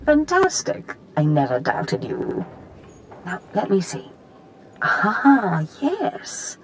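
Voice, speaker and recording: A woman's voice: medium pitch, huge pitch swings, slow, slight background noise. A woman playing a haughty, scheming Sith master in a video game, theatrical and calculating. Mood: pleased. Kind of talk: video game dramatic acting.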